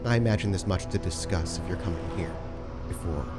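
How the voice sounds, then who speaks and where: A man's voice: deep voice, fairly quick, loud music behind the voice, natural voice. A man voicing a poised, stoic woman who leads a vampire chantry in a tabletop game, speaking formally and nonchalantly. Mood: cool, formal.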